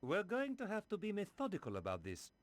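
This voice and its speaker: A man playing a scholarly, cautious friend with a measured, methodical way of talking in an adventure game. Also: high voice, huge pitch swings, no echo.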